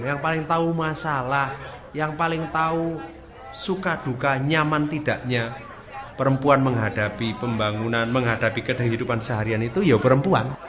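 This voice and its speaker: A man speaking publicly, building his point deliberately with rhetorical questions and repetition. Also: medium pitch.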